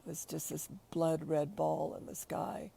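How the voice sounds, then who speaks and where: Middle-aged woman host, raspy and mellow-toned, slow, unhurried and hesitant delivery. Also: deep voice, monotone. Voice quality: raspy after covid. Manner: hesitant, halting, many restarts. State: short of breath at times. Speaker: livestream host. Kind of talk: casual spoken reflection.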